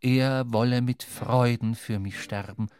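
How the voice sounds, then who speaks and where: A man playing a reflective seafarer who narrates his adventure in measured, expressive literary German audio drama style. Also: mid-range voice, close to an everyday voice. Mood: reflective, fond. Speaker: first-person narrator. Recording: clear ambient noise behind voice.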